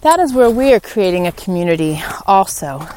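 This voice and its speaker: A woman's voice: on the low side, big pitch swings, brisk, dark tone. A woman hosting a solo podcast, talking informally and earnestly as she encourages her listeners. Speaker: podcast host. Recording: clearly noisy background with clicks.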